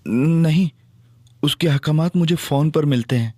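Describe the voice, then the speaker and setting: A man's voice: a little high, unhurried, a little mellow, no room sound, clean studio sound. A man narrating an audio drama in first person and playing a cheeky, mock-innocent young hero.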